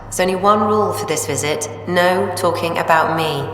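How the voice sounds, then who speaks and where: Casting note: middle-aged mother played by a woman, firm and steady, slightly low voice, very echoey room. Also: normal speed, distant mic, a little hiss or hum, natural voice.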